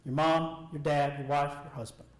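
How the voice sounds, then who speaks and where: High-voiced American man giving an earnest speech. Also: flat delivery. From Alabama, United States. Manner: earnest, deliberate, emphatic short phrases with repetition. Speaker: motivational speaker. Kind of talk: rehearsed personal testimony speech to an audience. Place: echoey room, speaking before an audience. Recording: echoey room sound.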